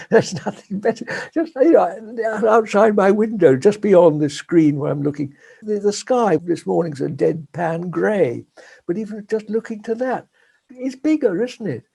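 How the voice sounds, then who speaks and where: Gravelly older Englishman, soft and reflective. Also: high-pitched, expressive. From England. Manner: gentle, unhurried, laughs softly between thoughts. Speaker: guest. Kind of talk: reflective interview talk.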